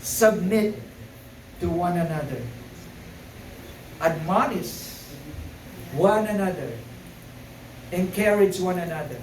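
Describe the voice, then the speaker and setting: High-voiced preacher in an echoey church. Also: male voice, slow, pauses often, a little mellow, a little hiss or hum. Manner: hesitant with frequent fillers, rising to emphatic repetition. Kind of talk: sermon. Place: church sanctuary. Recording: echoey room, voice from far off.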